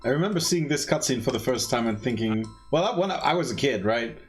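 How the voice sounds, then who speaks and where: Guy explaining a game run casually, pitch moves around a lot, music behind him. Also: expressive, a little fast. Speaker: speedrunner giving commentary. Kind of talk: casual live game commentary.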